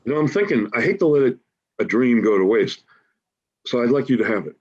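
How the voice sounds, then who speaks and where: Through slightly dull, lo-fi call audio comes a man acting a big-hearted, folksy homeless dreamer. His husky voice swings up and down with coaxing enthusiasm, as in a stage play reading. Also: medium pitch, lively intonation, brisk, a little mellow. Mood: earnest, coaxing. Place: remote reading over video call.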